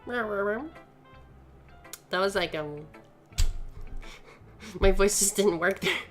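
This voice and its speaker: A woman live-streaming a game with casual, expressive commentary and reading bits of it aloud. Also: mid-range voice, extremely slow, soft background music.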